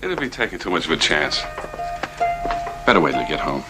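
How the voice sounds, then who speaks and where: A man's voice: very deep voice, big pitch swings, fairly quick. An actor playing a nervous, anxiously agreeable townsman in a 1960s television drama. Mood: anxious caution. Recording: old TV soundtrack, music and crowd behind.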